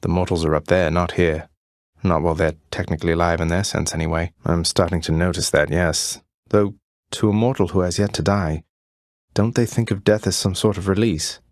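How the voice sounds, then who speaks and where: A man's voice: sits low, fairly even intonation, a little fast, darkish tone, no room sound. A man playing a young, rebellious underworld prince in a video game, with a British accent and a dry, polite, conversational manner. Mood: curious, earnest. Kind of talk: video game character dialogue.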